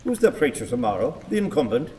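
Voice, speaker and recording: A man's voice: medium pitch, big pitch swings, fast, lightly acted. A man playing a grumpy, secretive old husband in a radio play, curt and irritable. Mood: dry. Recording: reverberant hall with background noise.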